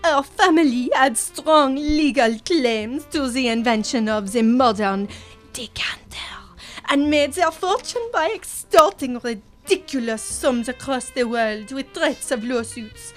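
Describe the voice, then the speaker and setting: A tragic, lovesick French secretary played by a young woman pours out her heart in a high voice at a slow pace. She is florid and grandly melodramatic, in comic radio serial style, with faint music underneath. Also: lively intonation. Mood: mournful. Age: young adult. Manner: florid, theatrical storytelling with emotional outbursts. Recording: music behind the voice.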